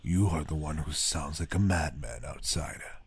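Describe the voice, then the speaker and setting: A man voicing a cold, self-righteous village elder with a gravelly voice in an audio drama. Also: a bass voice, normal speed, slight background noise. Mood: stern. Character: stern male village elder, zealous punisher.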